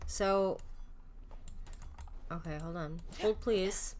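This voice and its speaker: A woman's voice: on the low side, very slow, darkish tone. A woman doing casual live video game commentary, chatty and self-mocking as she thinks aloud.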